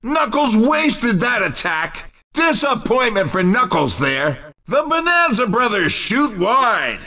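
A man's voice: sits high, very animated, takes it slowly, bright, forceful tone, recorded from a distance, heavily acted. An actor playing an exuberant, cheeky male race commentator in a video game, calling the action in punchy, wisecracking exclamations. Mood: mock disappointed.

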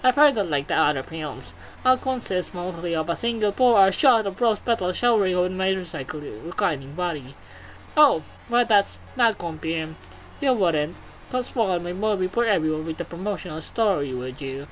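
A woman's voice: on the low side, animated, moderate pace, lightly acted, poor audio. A woman voicing a vain, gushing showbiz robot who presents TV news in a video game, with theatrical announcer phrasing. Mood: vain, coy.

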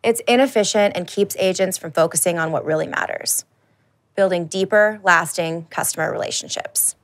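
A woman in her thirties giving a scripted product demo as a marketing presenter, with a polished, upbeat, clearly enunciated delivery. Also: lowish voice, moderate pace. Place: large dark indoor studio stage.

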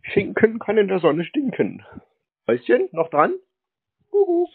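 Husky deadpan man on a phone line. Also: high voice, a little slow. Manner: deadpan, stalling, silly. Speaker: prank-calling scam baiter. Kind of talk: prank phone call. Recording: narrowband phone-like sound.

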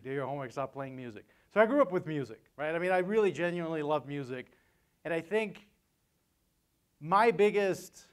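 A man's voice: a little high, clear, resonant tone. A man in his forties from Austria, giving an informal, joking conference talk in a conversational way. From Vienna, Austria. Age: 40s. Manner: conversational, joking, often tags sentences with "right?". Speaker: seminar speaker. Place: hotel meeting room, on stage at a lectern. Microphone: lapel mic.

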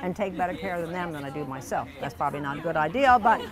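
A woman's voice: deep voice, lively intonation, fast talker, music in the background. A woman in her sixties, an egg farmer, talking in a chatty, down-to-earth way at an outdoor market. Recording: crowd chatter behind. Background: market crowd.

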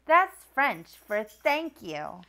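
Woman, thirties, storytime host, picture book read-aloud, lively swooping pitch, bright tone. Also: very slow. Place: small furnished room at a wooden table, indoors.

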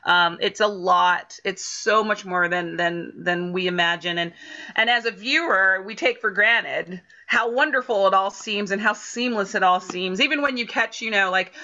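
A middle-aged woman chatting in an animated way on a video-call panel about her production work. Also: mid-range voice, normal speed. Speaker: theater production crew member. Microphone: computer mic on a video call. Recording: dull video-call audio.

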